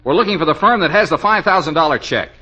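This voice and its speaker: A man's voice: on the high side, lively intonation, quick pace, pushed and bright. A man playing a flustered, exasperated American businessman boss in an old radio comedy drama, stammering and sarcastic. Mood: weary, grumbling. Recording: dull old radio recording.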